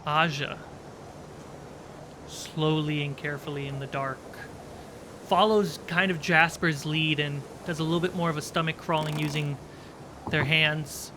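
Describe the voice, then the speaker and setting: A game master with a mid-pitched voice narrates a tabletop roleplaying game. The storytelling is descriptive and suspenseful. Clear noise runs behind the voice. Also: steady tone, unhurried, pauses often.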